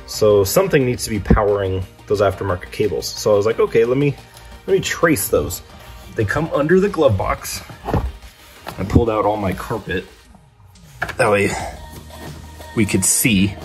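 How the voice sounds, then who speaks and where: A man's voice: lowish voice, sing-song, moderate pace, pauses often, faint music behind. A young man narrating a DIY car vlog casually and informally, thinking aloud with mild exasperation. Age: young adult.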